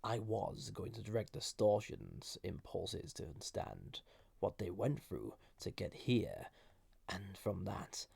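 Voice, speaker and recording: A man voicing an ancient banished villain entity in an intimate audio roleplay, soft-spoken and formal, with a gravelly, husky voice that often drops to a whisper. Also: sits low, sing-song, no room sound, exaggerated voice. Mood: grave, explaining. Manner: slow, halting, gently condescending, formal. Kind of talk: intimate scripted audio roleplay addressed to listener.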